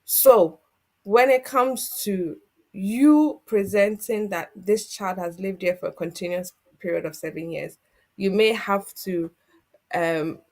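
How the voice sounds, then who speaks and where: A woman working as an immigration solicitor, calmly explaining legal requirements step by step on a community TV programme. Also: mid-range voice, slow. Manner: steady, methodical, explanatory.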